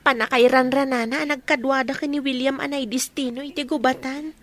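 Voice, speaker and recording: High, bright, wistful young woman, acted. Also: steady tone, fairly quick. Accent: Ilocano. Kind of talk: Ilocano radio drama acting.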